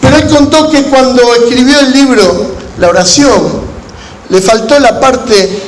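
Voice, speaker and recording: An Argentine man preaches in a noticeably high voice, phrasing deliberately and leaving pauses as he moves between teaching and storytelling. The sound is echoey, with faint noise behind him. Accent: Argentine Spanish. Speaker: pastor. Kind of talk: sermon to a gathering of pastors, with storytelling. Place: large room at a church conference. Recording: echoey hall with background noise.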